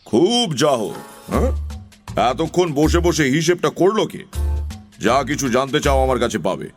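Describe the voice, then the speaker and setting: A man's voice: medium pitch, sing-song, a little slow, faint music behind. A man playing a cheeky, argumentative crow accountant with a rambling mock-official manner in a children's audio story. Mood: boastful, rambling.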